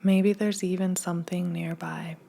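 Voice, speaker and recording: A woman leading a scripted guided meditation in a gentle, hushed, soothing manner, leaving long pauses. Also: on the low side, flat delivery, gravelly.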